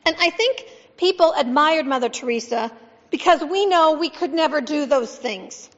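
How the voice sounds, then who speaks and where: A woman pastor reading scripture and giving a sermon, measured and emphatic, asking the congregation questions. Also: high-pitched, lively intonation. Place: church sanctuary. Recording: dull sound with long church reverb.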